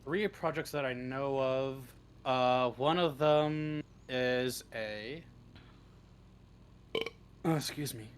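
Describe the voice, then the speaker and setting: A man's voice: medium pitch, slow, pauses often, clear, resonant tone. A man chatting casually as an interview guest, in a joking, self-deprecating way. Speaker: interview guest, script writer.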